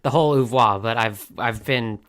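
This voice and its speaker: A podcast co-host with a medium-pitched voice takes part in a casual fan discussion. The delivery is conversational and unscripted. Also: normal speed, fairly bright tone, clear, resonant tone.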